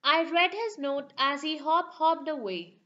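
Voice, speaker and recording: Bright high-voiced woman reading a storybook. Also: unhurried, lo-fi sound. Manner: sing-song rhyming storytelling. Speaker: children's book reader. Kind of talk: storybook read-aloud.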